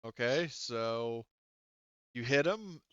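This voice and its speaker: A man acting as game master, casually running a tabletop game and thinking aloud in a halting way, on slightly dull audio. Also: mid-range voice, animated, fairly bright tone, clear, resonant tone, dry recording. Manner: halting, talks through rules while thinking aloud.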